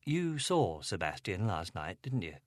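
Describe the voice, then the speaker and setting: A man playing a careful, gentle detective. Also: low voice, sing-song, no room sound, studio quality. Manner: slow, careful, soothing.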